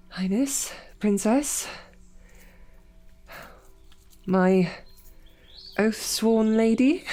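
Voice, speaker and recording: A woman's voice: medium pitch, mellow. A woman voicing a captive young woman knight who talks in a dry, formal, wryly understated way, in an audio roleplay drama. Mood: flustered. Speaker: voice actor.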